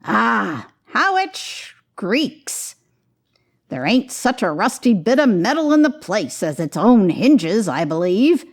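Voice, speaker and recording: A woman's voice: medium pitch, very animated, slow pace, exaggerated voice. A woman voicing a jovial, sly gray-haired shopkeeper of nearly seventy in a storybook, colloquial and exclaiming. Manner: colloquial, exclaiming, repeating himself.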